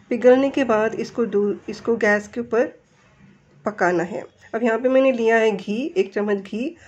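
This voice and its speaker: A woman's voice: a little high, a little mellow, highs cut off. A woman with an Indian accent gives step-by-step instructions as a home cook in a recipe voice-over. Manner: explanatory, step-by-step.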